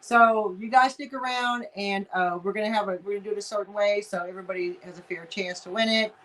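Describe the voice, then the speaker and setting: A woman's voice: mid-range voice, steady tone, quick pace, a bit bright, low-quality recording. A woman from Texas with a slight Texas accent, hosting a casual live chat and greeting viewers in a folksy way over a video call. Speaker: livestream host.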